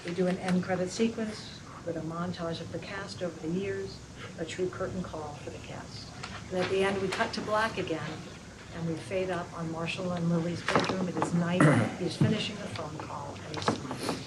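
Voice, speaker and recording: A woman reading stage directions aloud at a script read in a steady, clear voice. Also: fairly low pitch, normal speed, very noisy.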